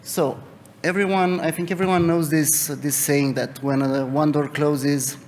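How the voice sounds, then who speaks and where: Young Romanian-accented man, creaky voice in a hall. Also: fairly high pitch, steady tone, measured pace. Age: young adult. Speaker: interventional cardiologist presenting a case. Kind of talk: medical conference case presentation. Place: conference hall. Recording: reverberant hall, noticeable background noise.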